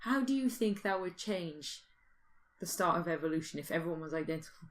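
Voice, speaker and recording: A woman's voice: lowish voice, expressive, fairly quick, a little hiss or hum. A woman podcast host in casual, comic banter, rambling a little as she thinks aloud. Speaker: podcast host posing the question.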